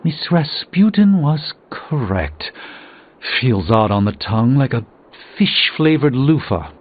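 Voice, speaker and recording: A pompous middle-aged game sorcerer, real animated and wry, thin phone-like sound w music under. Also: male voice, mid-range voice, slow pace, slight background noise. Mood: dry, wry. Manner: pompous, formal, dryly wry, theatrical. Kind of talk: scripted video game dialogue.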